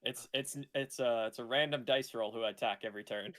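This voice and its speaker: A man's voice: medium pitch, brisk, fairly bright tone. A man chatting casually with other players during an online card game. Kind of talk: casual table chat.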